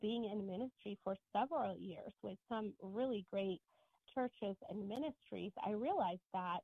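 A woman's voice: mid-range voice, vocal fry, slightly rough, no echo. Ethiopian-born woman on a tinny line. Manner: earnest, measured, with many restarts. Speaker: guest author. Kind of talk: radio interview answers. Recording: tinny phone-line sound, dry.

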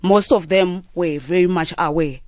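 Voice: mid-range voice, normal speed, no room sound. A guest in a radio studio who gives frequent short assents while working through a point. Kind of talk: talk show discussion.

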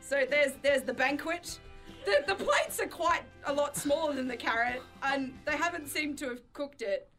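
Woman, podcast co-host, animated intonation, bright tone, giggly, self-mocking, casual. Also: sits high, normal speed, soft background music. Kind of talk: casual chat with a playful read-aloud of own childhood story.

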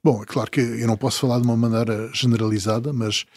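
A man in his fifties from the Azores with a European Portuguese accent, telling travel stories as an interview guest, chuckling and letting his sentences trail off softly. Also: mid-range voice, fairly even intonation, fast talker, no room sound. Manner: storytelling, chuckling, trailing off quietly. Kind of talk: relaxed interview conversation.

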